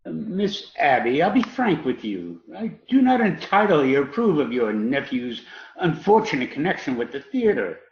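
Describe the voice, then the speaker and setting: A man's voice: a little high, very animated. A man plays a prim and proper clergyman in a radio comedy, stiffly polite and earnest. His intonation rises and falls widely in an echoey, slightly dull recording. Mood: prim, disapproving. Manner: stiff, formal, measured phrasing. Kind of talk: radio comedy theatre acting.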